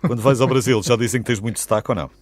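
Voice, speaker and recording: A man's voice: medium pitch, rapid-fire, dry recording. A man with a European Portuguese accent hosting a radio interview, friendly and chatty. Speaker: radio host.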